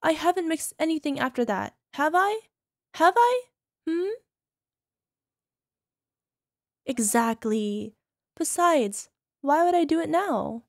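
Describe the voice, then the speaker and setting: A woman's voice: sits high, expressive, measured pace, no echo, clean studio sound. A woman playing a doting, possessive young yandere wife in an ASMR roleplay, cooing and coaxing the listener with a controlling edge. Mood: defensive, menacing.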